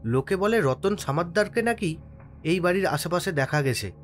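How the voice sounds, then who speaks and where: A man playing a deferential village tea seller and helper, speaking in an animated, cautioning way in a horror cartoon. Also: high-pitched, moderate pace, slight background noise. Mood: mysterious, explaining. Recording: music under the voice.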